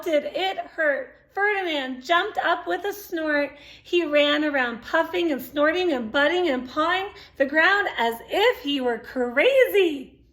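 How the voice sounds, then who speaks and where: A woman's voice: sits high, sharp tone. A woman reading a picture book aloud to young children, expressively, with exclamations, asides and questions to her listeners. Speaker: storytime reader.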